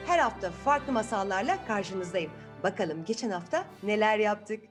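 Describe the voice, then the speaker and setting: A woman hosting a children's story channel, telling a fairy tale in an expressive, chatty way and putting questions to her young listeners. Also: medium pitch, normal speed, faint music behind.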